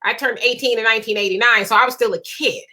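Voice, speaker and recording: A woman in her forties co-hosting a casual live discussion, chatty and animated, driving points home with a rhetorical "right?". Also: fairly high pitch, quick pace. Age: 40s. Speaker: co-host.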